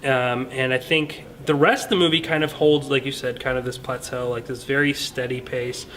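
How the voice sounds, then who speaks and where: A man's voice: medium pitch, steady tone, normal speed, fairly bright tone, a little hiss or hum. A man talking through his opinions on a film in a casual, earnest, conversational way, hedging as he goes. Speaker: film review show host.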